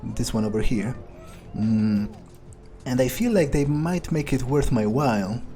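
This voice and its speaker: Video game vampire, played by a man: ruthless, foul-mouthed muser, darkly amused, dark mellow tone, clear music behind. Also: medium pitch, expressive, a little slow. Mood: musing, darkly amused. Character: ruthless, casually murderous male vampire thinking aloud. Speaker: gaming streamer role-playing his character. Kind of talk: in-character improvised game commentary.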